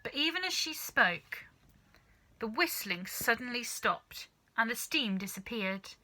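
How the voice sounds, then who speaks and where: Very bright-voiced woman reading a story. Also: a little high, a little slow, poor audio. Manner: dramatic, animated reading. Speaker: storybook reader. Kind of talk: expressive children's story narration.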